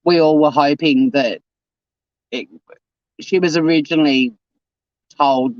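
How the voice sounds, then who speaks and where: Man, 50s, stream host, chatty, fairly high voice, dry lo-fi mic. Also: measured pace, no room sound. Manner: chatty, reads viewer comments aloud and answers them. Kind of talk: casual live stream chat. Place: home.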